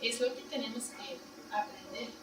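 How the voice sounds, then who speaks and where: A woman's voice: on the high side, flat delivery, normal speed. A woman lecturing non-native, in an echoey room. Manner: explains in plain steps, checks listeners with "¿no?" and "¿sí?"; Spanish as a second language. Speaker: seminar lecturer. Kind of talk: university seminar lecture. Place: seminar room. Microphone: distant room mic. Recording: echoey room, far mic, loud noise.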